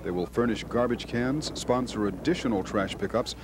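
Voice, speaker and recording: A man's voice: medium pitch, normal speed, background noise. An American man narrating a scripted television news field report.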